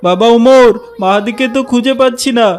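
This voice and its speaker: A man's voice: extremely high pitch, moderate pace. A man voicing an anxious middle-aged father in a children's cartoon. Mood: worried. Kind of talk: animated cartoon dialogue.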